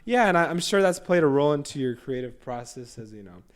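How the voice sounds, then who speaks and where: A young man hosting an interview in a casual, friendly way, encouraging his guest, whose "you know" asides tail off quietly. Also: mid-range voice, animated, quick pace. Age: young adult. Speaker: interview host.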